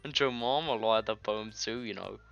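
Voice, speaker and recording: A gamer voicing a reserved, proud, bookish anime schoolgirl with a measured, formal delivery in a visual novel read-aloud. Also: medium pitch, vocal fry, a bit bright, pitched below the performer's own voice. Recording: dull lo-fi mic, music behind.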